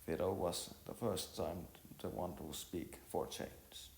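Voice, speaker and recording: A man's voice: low voice, steady tone, darkish tone, slight background noise. A man reading a scripted story as an amateur narrator, stumbling and repeating words as he goes. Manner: halting read with stumbles and repeats. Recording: roomy echo with music behind. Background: music.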